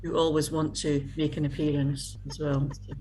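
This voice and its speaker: A middle-aged Scottish woman from Scotland, a guest in a casual video-call interview, talking haltingly with many fillers and restarts. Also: deep voice, level tone, dark tone.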